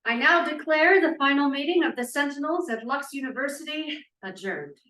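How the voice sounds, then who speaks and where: A high, forceful woman acted by a reader formally presides over a meeting in a stage play. Her voice carries from a distance through an echoey, noisy room. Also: expressive, moderate pace. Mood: solemn, rallying. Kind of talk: scripted play reading.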